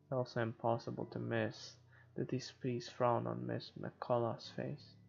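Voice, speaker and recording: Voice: medium pitch, little pitch movement, measured pace, vocal fry, darkish tone. A person reading visual novel narration aloud for a game playthrough, with a muffled recording and game music behind. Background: game background music.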